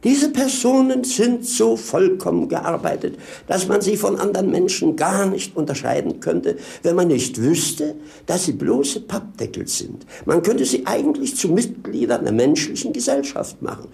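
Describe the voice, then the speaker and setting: Swooping, high-voiced garrulous fool. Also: male voice, very animated, moderate pace, gravelly, few pauses, warm tone, full character voice. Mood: showman patter. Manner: theatrical, rambling, self-mocking patter. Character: garrulous, mischievous fool presenting automatons like a showman. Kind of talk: German radio play acting.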